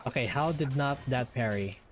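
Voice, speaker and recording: A man's voice: medium pitch, normal speed. A man giving casual, slangy, sometimes profane commentary as he streams a video game. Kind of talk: casual gameplay reaction commentary. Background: game music.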